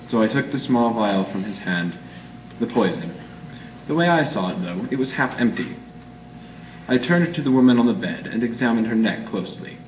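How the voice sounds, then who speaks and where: An amateur actor playing a hard-boiled 1940s private eye in a radio noir, clipped, deadpan and slangy. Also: male voice, on the low side, close to an everyday voice. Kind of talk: 1940s radio noir acting with first-person narration. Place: live presentation in a roomy gallery. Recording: echoey room, distant mic, faint noise.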